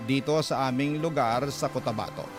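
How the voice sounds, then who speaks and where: A man's voice: medium pitch, normal speed, over loud music. A man hosting a radio show, reading listeners' letters as dramatic, earnest, sentimental narration.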